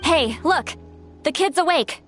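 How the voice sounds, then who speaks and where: A woman's voice: sits high, lively intonation, slow pace, fairly bright tone, full character voice. A woman voicing an eager, chirpy little girl in an anime game. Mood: excited greeting. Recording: music behind the voice.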